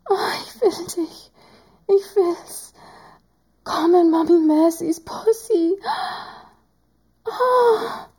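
A woman voicing a teasing, doting woman doctor in an adult audio roleplay, speaking in a slow, whispery, drawn-out way. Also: very high voice, warm tone, exaggerated voice.

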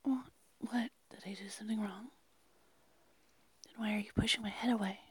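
A woman playing a seductive, insistent secret night-time lover in an intimate audio roleplay, speaking in a hushed, breathy, coaxing whisper. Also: medium pitch, fairly even intonation, no echo. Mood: worried. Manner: coaxing, hushed, slow and pleading.

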